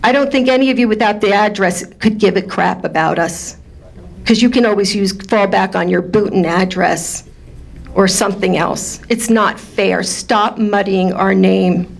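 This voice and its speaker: A woman's voice: mid-range voice, normal speed, creaky. Lady at the town council mic, big confrontational voice, pushing for answers. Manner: loud, confrontational, demanding answers. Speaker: resident speaking at public comment. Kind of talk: public comment at a council meeting. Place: township council meeting room.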